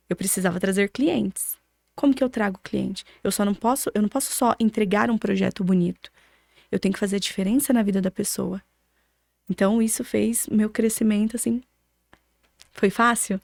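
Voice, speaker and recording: Casting: young Brazilian woman, mid-pitched, conversational and motivational interviewee, emphatic, dry close sound. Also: a little fast, creaky, a little mellow, dry recording. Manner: emphatic, asks and answers own rhetorical questions. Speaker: podcast guest, architect.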